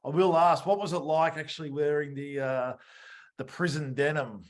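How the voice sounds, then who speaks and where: A man's voice: a little high, steady tone, moderate pace. A man hosting an interview in a casual, conversational style. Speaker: podcast interview host.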